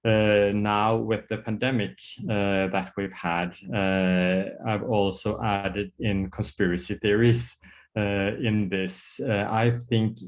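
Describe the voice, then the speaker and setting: A man's voice: lowish voice, flat delivery, takes it slowly. Hesitant Norwegian man giving a talk. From Norway. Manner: hesitant, many fillers and restarts. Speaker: psychiatrist giving a workshop talk. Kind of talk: online workshop presentation. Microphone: video call.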